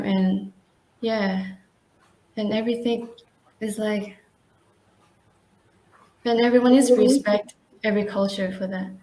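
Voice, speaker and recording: A woman from Thailand, a new citizen speaking halting, simple English with many fillers over a video call. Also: mid-range voice, warm tone, roomy sound, low-quality recording.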